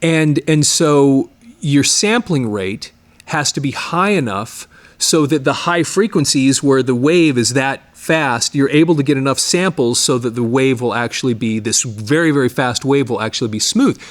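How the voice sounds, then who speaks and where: A middle-aged man talks casually to his viewers, with a voice that sits in an ordinary middle pitch. He rambles, restarting his sentences and repeating words as he explains. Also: normal speed. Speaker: channel host, media digitizing studio owner. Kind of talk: casual explanatory talk to camera.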